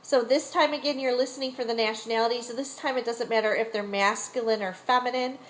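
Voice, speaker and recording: A woman's voice: on the high side, steady tone, a little fast, slight background noise, rough recording. A woman teacher casually and patiently explaining a listening exercise.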